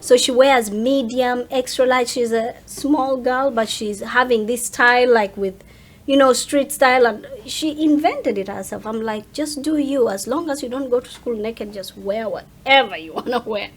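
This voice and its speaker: Higher-pitched woman chatting as a guest. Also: moderate pace. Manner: emphatic, repeats phrases for effect. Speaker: interview guest. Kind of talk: casual chat.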